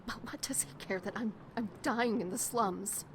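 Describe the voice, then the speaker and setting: A woman's voice: medium pitch, normal speed, fairly bright tone, close to an everyday voice. An actress playing a tough, protective, world-weary young woman in an audio drama, blunt in manner, with faint noise behind her. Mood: weary, bitter.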